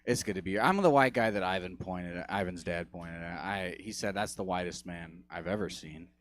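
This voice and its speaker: A man's voice: deep voice, a little fast, a bit bright. A man opening his stand-up set with upbeat, enthusiastic energy on a comedy theater stage.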